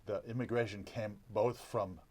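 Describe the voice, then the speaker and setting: Low-voiced man lecturing on history. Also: fairly even intonation, a little mellow. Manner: lecturing, halting with many restarts and fillers. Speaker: columnist and economics teacher. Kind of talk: radio panel discussion.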